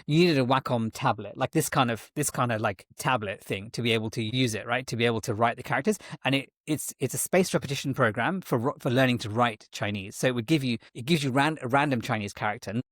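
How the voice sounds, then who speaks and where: A man's voice: medium pitch, quick pace, hardly any pauses, no echo, clean studio sound. A man interviewed as a guest, talking in a rambling way with frequent restarts and self-corrections. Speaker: guest, tech executive and parent. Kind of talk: conversational interview.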